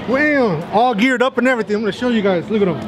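A man's voice: extremely high pitch, quick pace. A man in his thirties chatting casually to viewers while walking through an outdoor theme park, filming himself at arm's length. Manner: chatty, addresses viewers as guys. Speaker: vlogger. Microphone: handheld camera at arm's length. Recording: loud crowd and outdoor noise.

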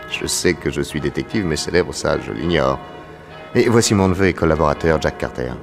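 A man's voice: extremely low pitch, moderate pace, creaky, soft background music. A man playing a shrewd, wry detective in a French radio play, chuckling as he questions people. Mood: dry. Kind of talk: old French radio drama acting.

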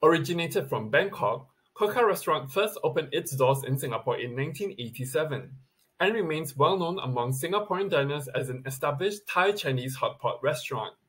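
A man's voice: on the high side, lively intonation, brisk, fairly bright tone, husky. Man reading a scripted countdown. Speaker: video presenter. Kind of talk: scripted list narration.

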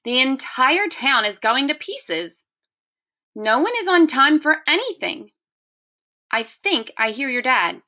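Lady reading a worried practical storybook mom, high bright voice, emphatic. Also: very animated, takes it slowly, exaggerated voice. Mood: concerned. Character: concerned, practical mum in a storybook.

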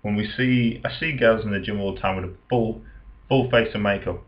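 A man in his twenties giving casual, unscripted advice as a personal trainer, often repeating and rephrasing himself in a halting way. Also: fairly low pitch. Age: 20s. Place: small room at home, indoors. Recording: dull lo-fi webcam sound.

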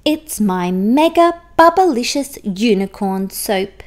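Woman as a high-pitched, fussy storybook unicorn. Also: expressive, takes it slowly. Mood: smug. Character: vain, fussy female unicorn, easily exasperated. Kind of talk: children's picture book read-aloud.

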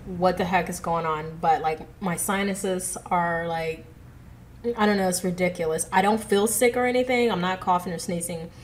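A woman's voice: on the low side, moderate pace, slight background noise. A woman in her thirties hosting a reaction video, chatting casually to viewers with a stuffy, congested nose, on an earbud mic in her living room. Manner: chatty, giggly, addresses viewers directly. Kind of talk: casual chat to camera. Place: living room at home, indoors. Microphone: earbud headset mic.

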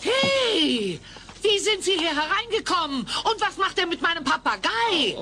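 A bossy, scatty wife in a German audio play. She is extremely high-pitched and animated, with clear noise behind her. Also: a little slow, full character voice. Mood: scolding. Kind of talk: German radio play dubbing.

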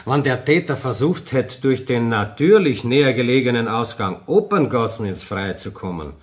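An actor playing a methodical, deferential police investigator with an Austrian accent in a radio crime drama. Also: male voice, medium pitch, expressive, normal speed, close to an everyday voice. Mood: insistent.

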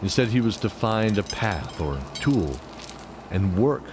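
A man reads scripted fantasy narration in a dramatic manner. His voice is very deep, with clear ambient noise behind him. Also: animated, unhurried.

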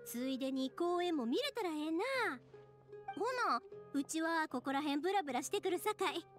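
Chatty friendly anime game girl, kansai accent, high n animated, bit of music behind. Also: normal speed. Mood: cheerful. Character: cheerful, considerate, chatty young woman from a fantasy land.

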